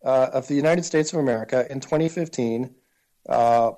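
A man co-hosting a political discussion, speaking casually with a wry, halting delivery. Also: medium pitch, dark tone. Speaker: political podcast co-host.

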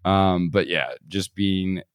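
A man's voice: sits low, fairly even intonation, slow pace, clear, resonant tone, no room sound. A man who is a guest on a podcast, talkative and telling stories in casual conversation with frequent laughs.

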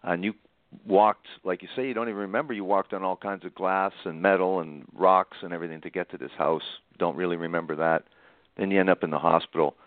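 A man with a low voice hosts a conversational interview over a narrow, phone-like connection with little room sound. He recaps and prompts deliberately, repeating phrases to stress them. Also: steady tone, no echo. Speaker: interviewer, host.

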